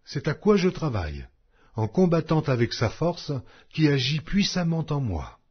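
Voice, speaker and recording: Man reading scripture with lively, swooping intonation. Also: fairly high pitch, unhurried, slightly rough, lo-fi sound. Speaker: audio Bible reader.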